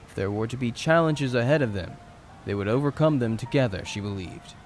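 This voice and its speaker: A man's voice: medium pitch, a little fast, soft background music. A man narrating a scripted fantasy story in a dramatic storytelling style.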